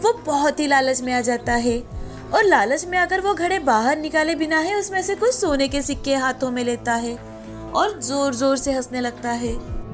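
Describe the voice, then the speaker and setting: A woman narrates a scripted moral story in a high voice. She works as the storyteller, and music runs behind her reading. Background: background music.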